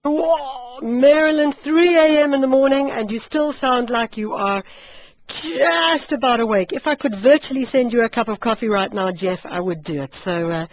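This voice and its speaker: A woman hosts a live radio interview in a fairly high voice. She is chatty and animated, given to exclamations. The sound is slightly muffled, like a radio broadcast. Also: normal speed. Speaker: radio host interviewing a guest.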